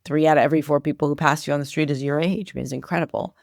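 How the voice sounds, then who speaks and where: Gravelly woman telling stories, dry sound. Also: low voice, very fast, no echo. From Iran. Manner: animated storyteller, many "like" and "you know" fillers. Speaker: guest. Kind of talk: casual interview storytelling.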